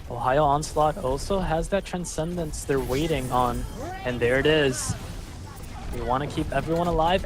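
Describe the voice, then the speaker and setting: A man casting a live online match, calling the action and filling pauses with rambling, self-deprecating asides. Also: a little high. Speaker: esports caster. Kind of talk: live game commentary.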